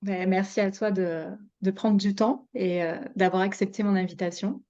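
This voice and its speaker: A woman's voice: mid-range voice, fairly even intonation, moderate pace, dark tone, dull audio. A woman hosting a podcast and chatting with a guest. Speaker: podcast host and interviewer. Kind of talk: scripted intro, then casual interview chat.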